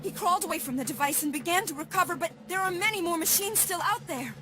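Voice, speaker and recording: A frantic high-voiced messenger. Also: female voice, lively intonation, fast talker. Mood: alarmed. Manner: urgent, alarmed. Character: frantic young woman scout bringing alarm. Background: game score music.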